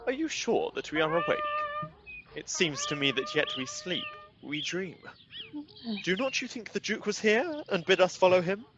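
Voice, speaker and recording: Man as lively, earnest young lover, birdsong behind. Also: high voice, moderate pace, muffled recording. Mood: dazed, wondering. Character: young Athenian lover, dreamy, bewildered and earnest. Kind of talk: Shakespearean radio play acting. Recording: clear birdsong and outdoor ambience noise.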